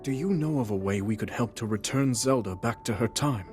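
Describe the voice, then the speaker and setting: A man voicing a calm, dignified ancient king in a video game, speaking in a measured, formal way. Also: mid-range voice, lightly acted. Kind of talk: video game cutscene voice acting. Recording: faint music behind.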